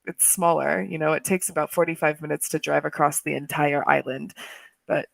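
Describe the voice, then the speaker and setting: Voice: medium pitch, steady tone, moderate pace, gravelly, dry recording. An English tutor chatting casually on a video call, laughing often and saying "like" a lot.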